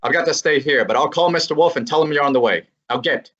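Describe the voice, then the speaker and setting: A young man voicing a wisecracking male private eye who narrates a radio play, with a brisk, wry manner. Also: on the high side, natural voice. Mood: urgent. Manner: brisk, wry, hard-boiled. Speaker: high school drama student. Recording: muffled lo-fi recording.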